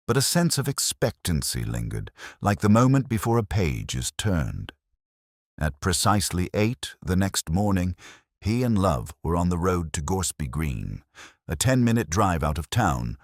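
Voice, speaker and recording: A man narrating a scripted mystery story in a measured, atmospheric way. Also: sits low, no echo, studio quality.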